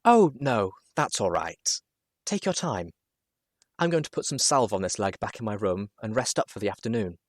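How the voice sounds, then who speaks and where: A man voicing an elegant, gracious pony mare singer with a refined, gently polite manner in a story reading. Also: on the low side, expressive, moderate pace, no room sound, clean studio sound. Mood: soft, polite.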